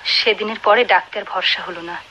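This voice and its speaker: An actress with a Bangladeshi accent playing a desperate, wealthy wife who longs for a child, imploring and insistent in radio drama style. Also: female voice, mid-range voice, steady tone, moderate pace, pushed and bright, lightly acted. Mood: hesitant, earnest. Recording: dull, noisy old radio recording.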